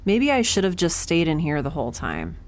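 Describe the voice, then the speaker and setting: Chatty, animated, wry spaceship scientist. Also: female voice, low voice, brisk. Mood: dejected, self-deprecating. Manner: chatty, rambling, sarcastic, self-deprecating. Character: quirky young woman scientist aboard a spaceship, nervous, wry. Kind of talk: conversational audio drama acting.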